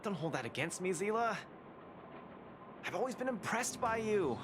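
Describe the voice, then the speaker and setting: An actor voicing a boisterous, eager young male fighting champion in a video game, with an excitable, cajoling delivery. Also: high-pitched, moderate pace, noisy background. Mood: sheepish, pleading. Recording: loud music under the voice.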